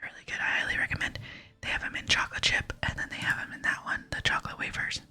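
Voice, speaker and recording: An ASMR gaming host whispering casual commentary, softly murmuring thoughts aloud while playing. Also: fast talker, soft background music. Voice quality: soft breathy whisper. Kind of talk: ASMR gameplay commentary, reading dialogue aloud.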